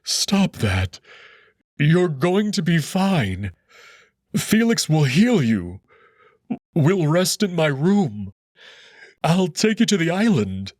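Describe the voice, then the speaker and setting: A man's voice: on the high side, big pitch swings, takes it slowly, long pauses, a little mellow, no echo, studio quality, voice pushed up, heavily acted. A man voicing a grieving young male wolf who pleads desperately with a dying loved one, over music. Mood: pleading. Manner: pleading, choked with grief.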